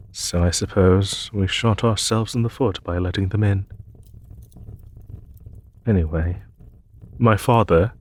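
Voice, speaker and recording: A man playing a courteous, war-weary demon emperor in an intimate audio roleplay, speaking formally and gently with a husky voice. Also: sits low. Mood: grave, explanatory. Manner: formal, measured, gently spoken.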